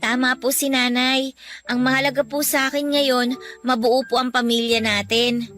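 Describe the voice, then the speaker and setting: A woman's voice: high-pitched, flat delivery, normal speed, fairly bright tone, faint music behind. A woman playing a sweet, shy and respectful young daughter in a Tagalog radio drama, girlish and playful. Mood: shy, tender.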